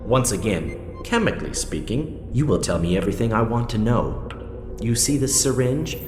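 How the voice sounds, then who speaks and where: An actor playing a coldly controlling male interrogator and torturer in an audio drama, speaking in a deliberate, condescending, threatening way. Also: mid-range voice, lively intonation, natural voice. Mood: cold menace. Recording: echoey reverberant hall with music.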